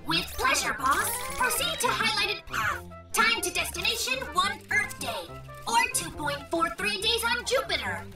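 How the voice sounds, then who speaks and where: A boastful little robot guide for a children's cartoon. The voice is extremely high and gravelly, with fussy, official delivery. It sounds echoey, over loud music. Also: animated, measured pace, distant mic, heavily acted. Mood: formal, helpful. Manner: pedantic, announcing directions like a GPS guide. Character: cheerful talking navigation robot, chatty and boastful.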